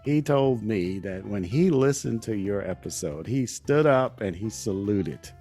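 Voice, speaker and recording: A man's voice: on the low side, animated, unhurried, dark tone, soft background music. A man hosting a podcast, talking in a gracious, complimentary conversational interview style. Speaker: podcast host.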